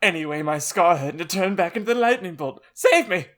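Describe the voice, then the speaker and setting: A man's voice: high-pitched, expressive, brisk, a bit bright, pitched above the performer's own voice. A man voicing a distressed, melodramatic teenage vampire boy in a comic audiobook, frantic in delivery. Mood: frantic, pleading.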